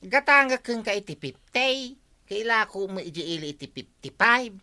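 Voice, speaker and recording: An actress playing a haggling village market seller in a radio comedy drama. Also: female voice, mid-range voice, animated, normal speed, sharp tone.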